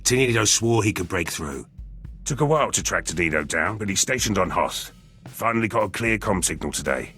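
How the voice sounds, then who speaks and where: Deep, gruff military veteran. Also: male voice, animated, brisk, pushed and bright, faint music behind. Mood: wry, matter-of-fact. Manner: clipped, terse, dry. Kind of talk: video game dialogue acting.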